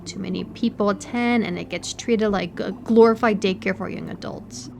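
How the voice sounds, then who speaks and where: A woman in an audio roleplay playing an educated, politely condescending aquarium worker who speaks in a measured, precise way. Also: medium pitch, creaky, darkish tone, slight background noise, natural voice. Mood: disdainful. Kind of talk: audio roleplay acting, one-sided conversation.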